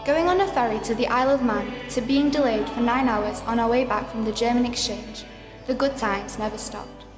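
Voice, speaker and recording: Young woman giving a speech in an echoey hall. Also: on the high side. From South Africa. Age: late teens. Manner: formal, read from a script, measured. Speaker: deputy school captain. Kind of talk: prepared prize-day speech. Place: large school hall at a ceremony. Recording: echoey hall with music behind.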